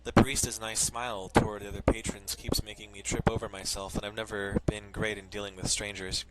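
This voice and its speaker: A man's voice: lowish voice, little pitch movement, fairly quick, very noisy. A man streaming a let's play, reading a visual novel's narration aloud with loose, casual asides. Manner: swears casually. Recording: loud music behind the voice. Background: loud music.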